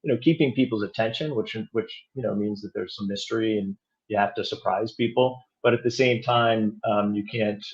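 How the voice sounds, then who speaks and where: A man chatting casually as an interview guest, thinking aloud in a halting, self-correcting way. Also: on the low side, highs cut off. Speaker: audio drama producer and director, interview guest.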